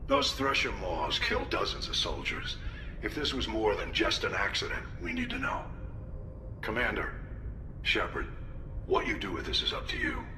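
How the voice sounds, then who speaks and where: A grave video game admiral with a low voice. His delivery is formal and military, yet highly varied in pitch. The transmission is clearly noisy. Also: wildly swooping pitch, normal speed, echoey room. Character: grave older male fleet admiral giving a briefing.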